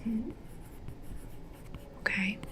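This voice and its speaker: A woman playing a polite, discreet cyberpunk matchmaker in an ASMR roleplay, speaking gently and professionally in a breathy whisper. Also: drawn-out words, noisy background. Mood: calm, businesslike. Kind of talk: soft-spoken ASMR roleplay.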